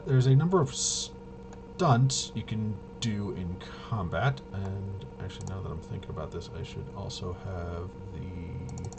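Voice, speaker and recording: A man casually rambling through tabletop game rules, haltingly, often trailing off and correcting himself. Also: low voice. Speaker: host. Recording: clear steady background noise.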